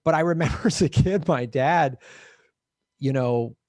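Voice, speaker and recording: Brief: middle-aged Midwestern guy, lively storyteller, laughs a lot, close dry mic. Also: on the high side, unhurried, dry recording. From American Midwest. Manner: animated storyteller, laughs often, acts out voices. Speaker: podcast co-host, touring comedian. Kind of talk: casual chat.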